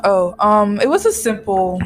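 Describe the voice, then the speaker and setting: A woman's voice: mid-range voice, sing-song, unhurried, a little hiss or hum. A young woman in her twenties with a British accent, a hairstylist guest on a podcast who talks in a blunt, teasing way. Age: 20s. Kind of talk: podcast interview.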